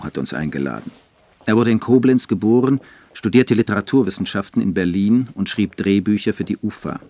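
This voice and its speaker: Low-pitched, dry literary man played by an actor. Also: a little mellow, natural voice. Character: dry, literary male novelist turned film writer. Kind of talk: German radio drama acting with narration.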